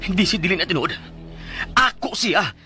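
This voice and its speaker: A man's voice: sits high, expressive, normal speed, over music. An actor with a Cebuano Filipino accent playing an earnest, wounded father in an emotional radio drama. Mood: distraught, pleading. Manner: emotional, pleading. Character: earnest, wounded family man and devoted father. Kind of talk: radio drama acting and first-person narration.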